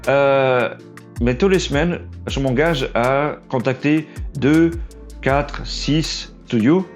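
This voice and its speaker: Man, Portuguese accent, casual unscripted vlog, stumbles and restarts, slightly worn voice, faint background music. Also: mid-range voice. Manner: halting, stumbles and restarts often, self-deprecating. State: losing his voice. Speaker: aspiring trailer editor, vlog host.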